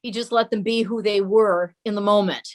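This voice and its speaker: A woman's voice: mid-range voice, fairly even intonation, normal speed. A woman talking conversationally as an interview guest, sharing family memories. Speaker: interview guest, host's daughter. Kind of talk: conversational reminiscing.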